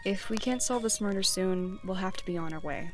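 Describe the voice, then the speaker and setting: A self-assured party leader, acted by a woman, speaks at a medium pitch. She is practical and matter-of-fact with a light teasing edge, in a fantasy audio drama with faint music. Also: fairly quick, lightly acted. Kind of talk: audio roleplay drama acting.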